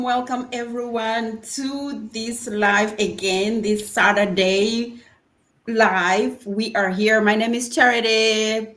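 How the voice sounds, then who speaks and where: A woman hosting a livestream in an upbeat, welcoming style, with hesitant pauses as she goes. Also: medium pitch, a bit bright. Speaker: livestream host. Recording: roomy, echoing livestream audio.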